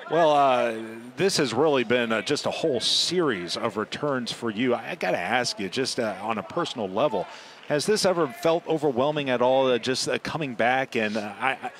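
A man's voice: mid-range voice, expressive, moderate pace. A man doing live baseball play-by-play and interviewing a guest from the broadcast booth. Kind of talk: live sports commentary mixed with interview. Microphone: broadcast headset. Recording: clear outdoor ballpark noise behind voice.

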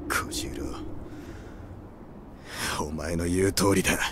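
An actor playing a brash, hot-blooded young samurai warlord in an anime audio drama, with a rough, swaggering, defiant delivery. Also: male voice, sits low, takes it slowly, noisy background. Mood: grim, determined. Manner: rough, swaggering, drops English words.

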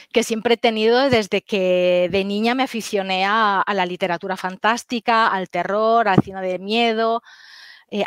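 A woman in her forties from Galicia, a native Galician speaker, talks over a headset mic on a video call from a book-lined study. She speaks thoughtfully, with frequent hesitations and tag questions. The sound is close and dry, at a middle pitch. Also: fairly bright tone, smooth, dry recording. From Galicia, Spain. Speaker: historian and author guest. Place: home study lined with bookshelves.

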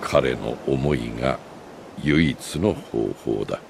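Very deep, gravelly old sage. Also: male voice, noisy background, heavily acted. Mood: solemn, earnest. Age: older. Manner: grave, measured, philosophical delivery with deliberate pauses. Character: solemn, remorseful old sage, wise and gentle. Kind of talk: Japanese video game cutscene acting.

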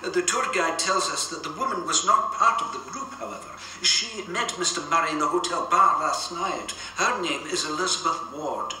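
Stiff formal castle official, very bright highish voice, reserved, radio play. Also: male voice, normal speed, hardly any pauses, reverberant, recorded from a distance, a little hiss or hum, rough recording. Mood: reserved concern. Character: stiff, understated male castle contact. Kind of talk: radio drama acting.

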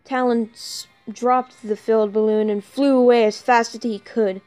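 Woman narrator, higher pitch, fan fiction read aloud, small stumbles, faint background music. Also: steady tone, moderate pace, a little mellow. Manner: stumbles over written words. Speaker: storyteller.

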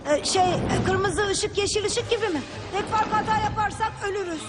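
A woman voicing an excitable, earnest young boy adventurer in a Turkish-dubbed cartoon. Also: extremely high pitch, moderate pace, over a noisy crowd. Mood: nervous, excited. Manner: eager, earnest, exclaiming. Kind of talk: animated cartoon dubbing. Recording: loud music behind.

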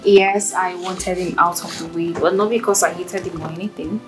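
An actress playing an earnest young woman job seeker, soft-spoken. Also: fairly low pitch, steady tone, normal speed, over music, lightly acted. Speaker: actor.

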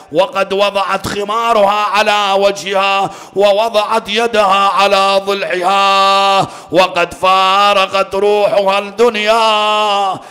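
A man reciting a religious lamentation in a mournful, dramatic storytelling style with drawn-out, tearful emphasis. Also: high-pitched, bright, forceful tone. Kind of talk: Shia lamentation sermon, dramatic storytelling with chanted passages. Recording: echoey hall with long reverb.

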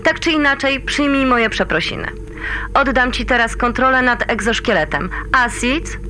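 An actress playing a wry, authoritative female mission controller in a sci-fi audio drama, talking in a brisk colloquial way. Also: on the high side, expressive, bright, forceful tone, a little hiss or hum, low-quality recording. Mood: reassuring, wry.

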